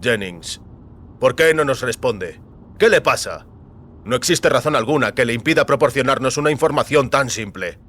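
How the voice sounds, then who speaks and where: A man's voice: mid-range voice, animated, normal speed, bright, forceful tone. Guy doing a stern security officer in an audio drama, clipped pushy questions, noisy background. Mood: pressing, exasperated. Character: stern, insistent male government security officer interrogating. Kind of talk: audio fiction acting.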